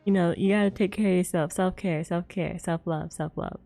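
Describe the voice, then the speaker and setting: A woman's voice: fairly low pitch, fairly even intonation, fairly quick, creaky, mellow, soft background music. A woman hosting a casual gaming livestream, chatting with viewers in a bubbly, giggly way. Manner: bubbly greetings, giggles often. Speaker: live streamer host.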